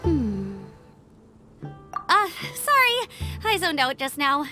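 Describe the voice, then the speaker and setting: A bright young scout. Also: female voice, sits high, huge pitch swings, slow pace, full character voice. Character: cheerful young woman scout, warm and nostalgic. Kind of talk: video game cutscene voice acting. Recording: game music under the voice.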